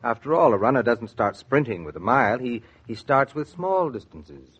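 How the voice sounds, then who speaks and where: A man's voice: mid-range voice, sing-song, normal speed, a bit bright, husky, highs cut off. A man playing a kindly, persuasive family friend and colleague in a 1940s radio drama. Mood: persuasive, reassuring.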